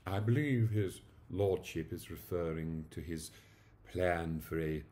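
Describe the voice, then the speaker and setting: Deep, formal butler, voiced by a man. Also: mellow. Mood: deferential. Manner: formal, measured. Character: deferential, measured male butler. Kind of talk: comic storybook character voice.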